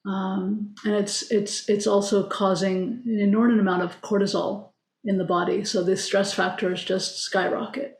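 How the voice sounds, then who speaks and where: A woman on a panel, an executive coach, explaining ideas in a measured, emphatic way. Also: medium pitch, little pitch movement. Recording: roomy echo.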